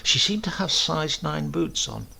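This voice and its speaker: A man reading a literary comic story as an expressive, deliberate storyteller. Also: a little high, gravelly, slightly rough. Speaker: reader of a comic short story. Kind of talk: scripted narration, literary storytelling.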